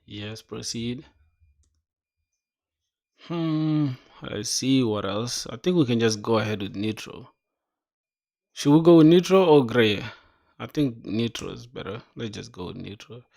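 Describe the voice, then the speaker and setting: A man's voice: medium pitch, unhurried, gravelly, pauses often. A young man streaming himself coding, chatting casually with viewers and thinking aloud in slang. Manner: slangy, calls viewers "bro", thinks aloud and reads docs; bursts into excited reactions between mumbled reading. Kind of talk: casual livestream chat while coding.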